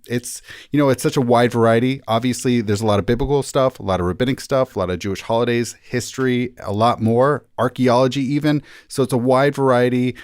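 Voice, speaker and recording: Man, rabbi guest, explanatory interview talk, middle pitch, dry close recording. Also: a little mellow, no room sound.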